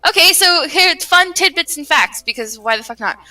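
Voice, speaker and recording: A woman's voice: extremely high pitch, expressive, brisk, pushed and bright, far from the mic, faint music behind. A woman in a casual chat about her creative projects, rambling and circling back on her words as she goes. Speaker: game developer, writer and voice actor.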